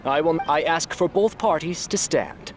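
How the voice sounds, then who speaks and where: A man's voice: a little high, a little slow, soft background music, close to an everyday voice. A man voicing a dignified scholar judge who proclaims formally in a video game. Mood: solemn, welcoming. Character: ceremonious, dignified scholar serving as debate judge.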